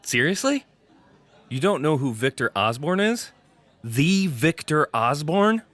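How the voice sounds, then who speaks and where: An actor playing a modest, self-deprecating young programmer in an audio drama, wry and a little hesitant. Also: male voice, fairly high pitch, very animated, unhurried, fairly bright tone. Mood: incredulous, teasing.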